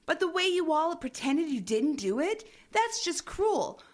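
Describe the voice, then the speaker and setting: A woman's voice: very high voice, very animated, a little slow, full character voice. A woman playing an indignant, sarcastic young intern in an audio drama. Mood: hurt, sarcastic. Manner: sarcastic, huffy. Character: indignant young female intern, easily offended.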